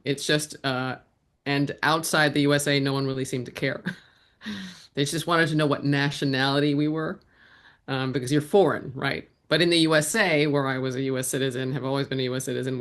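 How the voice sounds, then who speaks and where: Reflective woman guest with a gravelly, fry-edged voice, talking it through unscripted. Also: very deep voice. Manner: reflective, with frequent restarts. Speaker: guest, actor and writer. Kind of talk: conversational interview answers.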